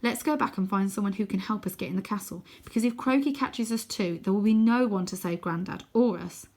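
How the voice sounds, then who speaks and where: A woman's voice: mid-range voice, a little fast, darkish tone, natural voice. A woman voicing an anxious, cautious boy adventurer in a storybook, speaking in a hesitant, earnest way. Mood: anxious, pleading.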